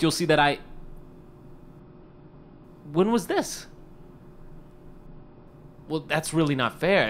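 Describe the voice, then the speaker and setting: A noisy recording carries a man playing an eager young hopeful of old-time radio drama, his pitch swinging up and down. He is a smart-mouthed striver who wheedles and trips over his words when flustered. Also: fairly bright tone, lightly acted. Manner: wisecracking, pleading, stammering when flustered. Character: eager, fast-talking struggling screenwriter working a hotel desk. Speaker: actor in an audio drama. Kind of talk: period radio drama acting.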